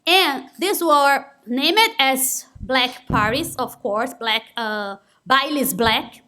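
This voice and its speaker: A woman's voice: sits high, takes it slowly, pushed and bright. A young woman from Brazil with a Brazilian Portuguese accent, giving an earnest conference talk in non-native English. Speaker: conference speaker. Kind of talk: conference presentation.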